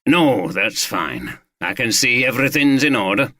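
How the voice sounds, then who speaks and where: A man voicing a calm, measured senior detective who speaks slowly and understatedly. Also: mid-range voice, no echo, clean studio sound.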